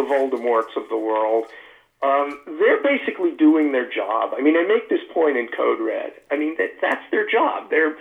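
A man as a guest author on a radio show, speaking earnestly over the phone with halting restarts. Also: mid-range voice, creaky. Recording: tinny phone line.

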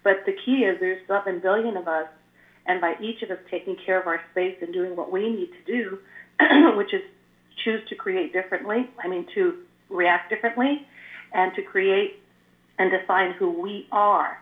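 A woman's voice: medium pitch, normal speed. An older woman from California, a spiritual guest talking earnestly in flowing explanations, her voice a little scratchy after the flu. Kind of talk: casual chat. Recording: tinny phone-like line, echoey room.

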